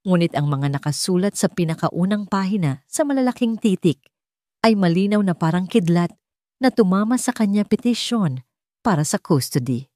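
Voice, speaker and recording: A woman with a slightly low voice narrates a dramatic story as an audiobook. She reads in measured, suspenseful phrases. The recording is dry and close, with little room sound. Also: expressive, mellow, no echo.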